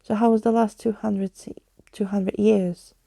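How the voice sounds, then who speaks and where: A grumpy, blunt young girl from a storybook, played by a woman reader. She sounds exasperated and sarcastic, in a dark, soft tone with heavy creak. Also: medium pitch, level tone, a little slow, dry recording. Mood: annoyed.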